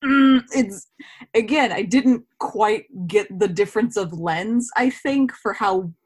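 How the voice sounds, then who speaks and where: A woman's voice: mid-range voice, takes it slowly, slightly rough. A woman speaking casually as an actor being interviewed, telling stories and voicing her own thoughts as quotes.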